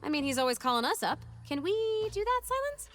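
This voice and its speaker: A woman playing a plucky, teasing young heroine in an animated video game cutscene, with a playful, wry delivery. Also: sits high, quick pace. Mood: playfully taunting.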